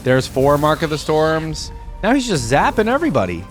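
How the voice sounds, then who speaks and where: A young man giving casual, expressive reaction commentary as a video host, easily amused. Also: a little high. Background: game audio and music.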